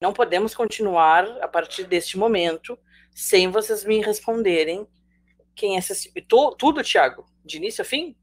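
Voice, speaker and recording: A woman's voice: mid-range voice, animated, normal speed. A woman with a Brazilian Portuguese accent teaching a live online sociology class, chatty and jokey, talking straight to her students.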